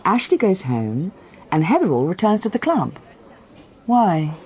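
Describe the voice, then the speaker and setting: Inquisitive British woman with mellow voice. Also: fairly low pitch, very animated, measured pace, husky, exaggerated voice. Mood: reasoning, absorbed. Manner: inquisitive, direct, insistent. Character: curious, persistent young woman quizzing a detective. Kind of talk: BBC radio detective drama acting.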